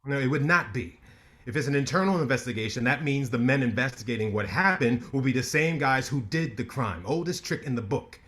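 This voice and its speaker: An actor playing a brash, ambitious lawyer who talks with cocky sarcasm in a staged play reading. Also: male voice, medium pitch, expressive, a little fast, a bit bright, lightly acted.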